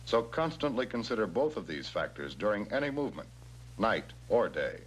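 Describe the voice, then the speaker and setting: An American man giving measured, instructional narration in the scripted style of a 1960s military training film, stressing key words. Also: lowish voice, big pitch swings. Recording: noisy 1960s film soundtrack with hum.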